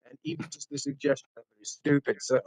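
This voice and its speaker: A man co-hosting an interview show, joking and moving things along briskly. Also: mid-range voice, big pitch swings, darkish tone, no room sound, poor audio. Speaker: co-host.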